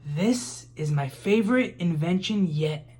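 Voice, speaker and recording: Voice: mid-range voice, animated, a little slow, a little mellow, breathy edge. A reader voicing an adventurous, kindly grandfather inventor from a children's storybook, exclaiming and encouraging. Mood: eager.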